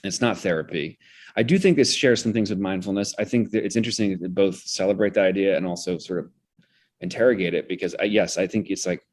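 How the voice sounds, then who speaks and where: A man's voice: deep voice, fast talker, a little mellow. A man speaking as an interview guest, explaining ideas reflectively in an articulate, intellectual way and often restarting his phrases. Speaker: interview guest, theater director.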